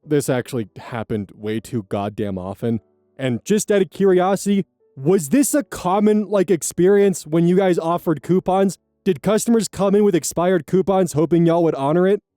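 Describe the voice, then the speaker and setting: A man reading online stories aloud as host, adding blunt, profane commentary in a casual, animated way. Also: sits high, moderate pace, dry recording, studio quality, faint music behind.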